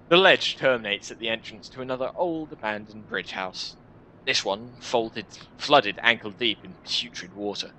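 A man in his twenties reading a first-person story aloud in an expressive, deliberate, dramatic style. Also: fairly low pitch, a little hiss or hum, poor audio. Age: 20s. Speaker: video creator reading a visual novel. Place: small room at home, indoors.

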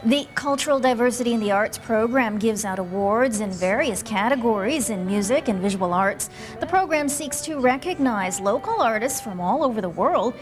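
A woman anchoring a television news segment in a studio, reading in a smooth scripted way. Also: a little high, expressive, moderate pace, music in the background. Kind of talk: news presenting.

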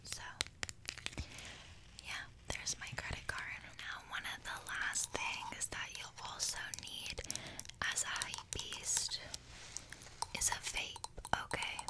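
Young woman doing asmr roleplay, very sharp bright whisper, right up on the mic, casual n hesitant. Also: takes it slowly, pauses often, poor audio. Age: young adult. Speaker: ASMR creator. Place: small bedroom, indoors. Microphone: close desk microphone.

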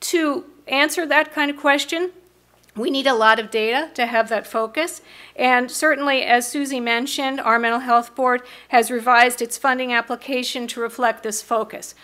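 A middle-aged woman with an American accent gives an explanatory prepared presentation at a podium microphone, pausing hesitantly at times. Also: high voice, steady tone, bright, forceful tone. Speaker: mental health board member presenting to city council. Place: large council meeting room, indoors.

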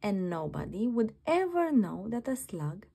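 A woman reading a children's bedtime story aloud in an expressive, dramatic manner. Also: medium pitch, a little slow, vocal fry, breathy edge. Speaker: children's book reader.

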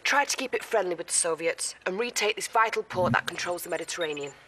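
An actress as a young lieutenant, noisy mix. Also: female voice, fairly low pitch, animated, fast talker, close to an everyday voice. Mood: earnest. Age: young adult. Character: poised young female military lieutenant briefing a commander. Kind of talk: mission briefing.

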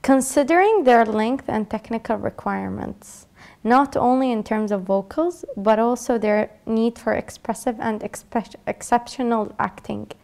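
A young woman interviewing a guest on a small indoor stage, asking formal, prepared questions in a polite and measured way. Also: on the high side, vocal fry, a little mellow. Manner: polite, measured, brief affirmations.

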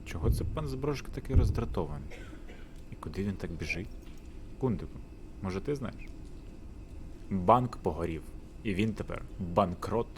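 Man as inquisitive, gutsy poor girl, visual novel. Low pitch, noisy track. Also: expressive, normal speed, long pauses. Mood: curious.

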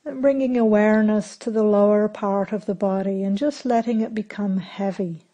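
A woman meditation teacher leading a guided meditation in a calm, gentle, unhurried manner with long pauses between phrases. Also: medium pitch, highs cut off.

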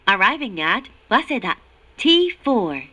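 A woman reading formal recorded train announcements in a measured, clearly articulated way. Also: fairly high pitch, big pitch swings, pushed and bright, dry recording, dull audio. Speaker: English-language train announcer. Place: recorded announcement played inside subway car.

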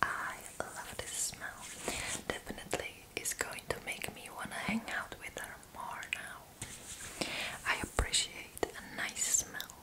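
A woman's voice: slow, rough recording. Young woman in a constant whisper. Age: 20s. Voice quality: soft, breathy whisper. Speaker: ASMR video creator playing a roommate. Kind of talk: whispered ASMR roleplay. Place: small indoor room. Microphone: close microphone.

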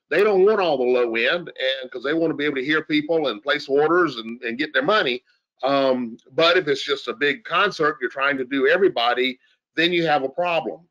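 A man's voice: fairly high pitch, sharp tone, highs cut off. A man giving an informal technical explanation as an expert, in a conversational way with rhetorical questions. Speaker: audio engineer guest expert.

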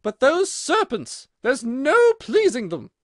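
Man voicing a shrill, frantic storybook mother pigeon. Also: huge pitch swings, takes it slowly, fairly bright tone, dry recording, clean studio sound, heavily acted. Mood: aggrieved. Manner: scolding, contemptuous, emphatic. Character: shrieking, distraught, accusing mother pigeon. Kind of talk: storybook character voice.

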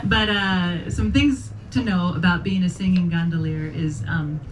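A middle-aged woman from Illinois tells a personal story on a small club stage, chatty and halting, with restarts. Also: lowish voice. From Springfield, Illinois. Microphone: handheld mic, far camera. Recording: distant camera sound with room noise.

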